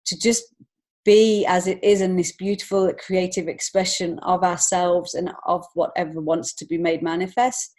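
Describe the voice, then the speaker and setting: A woman's voice: fairly low pitch, flat delivery, normal speed, darkish tone. A woman speaking as an interview guest in an earnest, reflective and encouraging way. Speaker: podcast guest, children's author and illustrator. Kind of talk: conversational interview answers.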